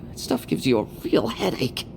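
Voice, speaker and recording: A man's voice: a little high, huge pitch swings, normal speed, gravelly, a little mellow, a little hiss or hum, exaggerated voice. A man playing a cocky, defiant young knight in a game dub, with a smug, teasing delivery. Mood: weary, teasing. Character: cocky, battle-hungry young male knight, sly and defiant. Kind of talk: English game dub voice acting.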